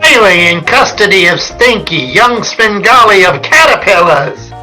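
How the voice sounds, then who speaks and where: A punchy radio-play announcer, acted by a man. His high voice is extremely sharp and forceful as he proclaims, with faint music behind. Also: expressive, measured pace, exaggerated voice, rough recording. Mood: proclaiming. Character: punchy male announcer reading out headlines and figures. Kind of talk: old-time radio acting.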